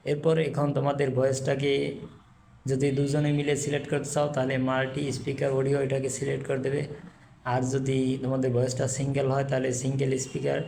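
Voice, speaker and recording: A man's voice: medium pitch, gravelly, a little mellow. A man hosting a video tutorial, narrating instructions step by step. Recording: faint background noise.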